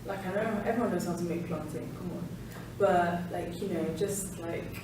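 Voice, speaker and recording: A woman's voice: lowish voice, moderate pace. A young woman answering interview questions in a chatty way, with lots of "like", in an indoor room. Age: young adult. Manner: chatty, many "like"s. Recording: echoey room, mic far off, noise.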